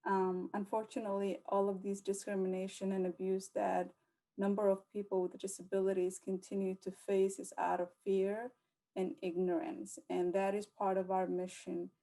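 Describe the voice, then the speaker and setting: A young woman from the United States speaking as a panelist at a virtual conference, measured and careful, often pausing on um. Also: mid-range voice, level tone, vocal fry, dark tone, low-quality recording. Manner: measured, with frequent um fillers.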